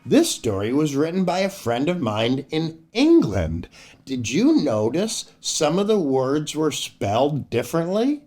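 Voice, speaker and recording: An older American man with a fairly high, very lively voice tells stories to children. He takes his time and addresses his young audience in a theatrical way, with light music behind him. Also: measured pace. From United States. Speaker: children's storyteller and show host. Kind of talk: expressive children's story read-aloud.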